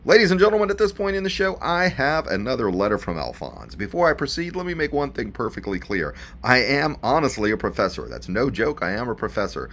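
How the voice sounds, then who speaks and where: A man's voice: mid-range voice, sing-song, fairly quick, hardly any pauses, fairly bright tone. A man presenting a radio show in a folksy, chatty showman's manner, addressing listeners directly with dramatic repeats.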